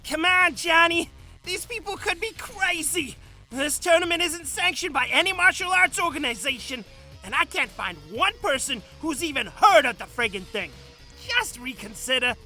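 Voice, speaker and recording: A woman's voice: high-pitched, animated, normal speed, pushed and bright, faint music behind. A woman playing a pushy, exasperated Hollywood agent pleading in a comic dub.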